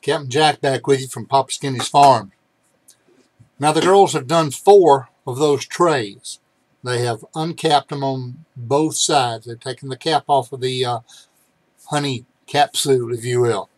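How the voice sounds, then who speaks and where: A man's voice: medium pitch, unhurried, no room sound. An older man speaks as a vlog host, explaining things casually to the camera. The sound is dry, with little room around his voice. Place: cluttered indoor room.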